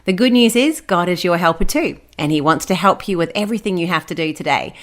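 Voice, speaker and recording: A woman's voice: on the low side, expressive, fast. A woman with an Australian accent hosting and reading scripted stories for children, explaining things simply. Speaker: children's Bible story host.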